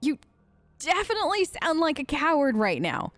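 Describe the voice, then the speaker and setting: A woman gives chatty role-play commentary on a video game. Her voice is high and her manner is playful and bantering, recorded close and very dry. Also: sing-song, normal speed, no room sound. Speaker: gaming video host.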